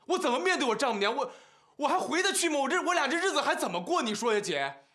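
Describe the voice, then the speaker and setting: Exasperated, animated younger brother. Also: male voice, extremely high pitch, fairly quick, full character voice. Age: 30s. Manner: pleading, repeating himself, rhetorical questions. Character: exasperated younger brother, earnest married surgeon, long-suffering. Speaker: actor in a family TV drama. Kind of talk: naturalistic TV drama acting. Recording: background music under dialogue.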